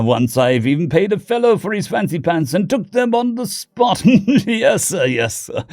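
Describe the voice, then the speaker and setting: High, posh British hamster diplomat. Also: male voice, darkish tone, no echo, clean studio sound. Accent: posh upper-class British. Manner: pompous, effusive, chuckling, muddled. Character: pompous upper-class male space hamster diplomat, jolly and bumbling.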